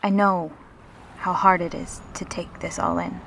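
A woman playing a gentle, reassuring revenant in an intimate audio roleplay, speaking earnestly and haltingly. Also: fairly low pitch, level tone, gravelly, background noise. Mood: patient, earnest. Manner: halting, earnest, soft-spoken pauses.

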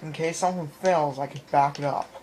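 A man's voice: a little high, steady tone, brisk, warm tone, a little hiss or hum. A man giving casual, off-the-cuff commentary as he plays a video game, muttering reactions and apologetic asides. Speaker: gaming video maker, player. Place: small room at home, filming a TV screen. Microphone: handheld camera or phone mic. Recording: camera mic in room, game music. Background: video game music.

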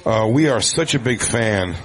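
A man's voice: lowish voice, lively intonation, unhurried. A man from Philadelphia with a Philadelphia accent, co-hosting a live comedy show and laughing often. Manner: laughing, teasing. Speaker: co-host. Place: live stage before an audience.

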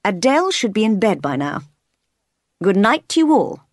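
A woman voicing a stern, brusque gentleman, master of the house, in blunt questions and commands for a novel. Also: mid-range voice, very animated, normal speed, dry recording, studio quality, voice pushed up.

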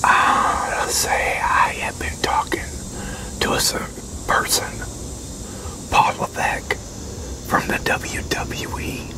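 Whispering man in his sixties, slow and halting. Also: rough recording. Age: 60s. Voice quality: breathy, unvoiced whisper. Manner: halting, with pauses and one-sided conversation. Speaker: ASMR roleplayer playing a wrestling promoter. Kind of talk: whispered ASMR roleplay. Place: open covered outdoor picnic area with metal tables.

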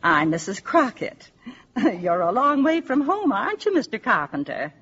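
An actress playing a fretful, nosy boarding-house landlady in a 1950s radio drama, fussy and excitable. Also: on the high side, moderate pace, breathy edge, full character voice. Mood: flustered, friendly. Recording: dull, hissy 1950s radio recording.

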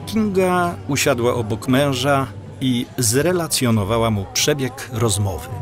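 Male audiobook narrator: unhurried, mid-pitched, scripted storytelling with faint music underneath. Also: animated.

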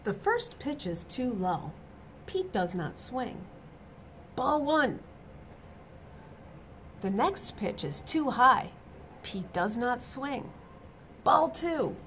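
A middle-aged woman reads a children's story in a fairly high voice. Her delivery is very animated and unhurried, stressing exclamations for young listeners. There is a faint noise in the room. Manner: expressive, deliberate, emphatic exclamations. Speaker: reader of a children's picture book. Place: small indoor office or classroom.

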